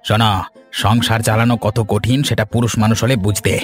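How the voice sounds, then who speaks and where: A man's voice: lowish voice, flat delivery, brisk, faint music behind, natural voice. A man playing a hot-headed, envious, hard-up village husband in a cartoon, with a blustering, aggrieved manner. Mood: indignant, angry. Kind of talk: scripted cartoon dialogue.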